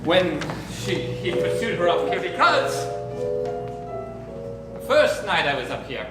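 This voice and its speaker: A man's voice: high-pitched, sing-song, unhurried. A man playing a grim, formal stranger searching for his missing brother and seeking revenge, in an improvised stage thriller. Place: theater stage. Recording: echoey live theater with music.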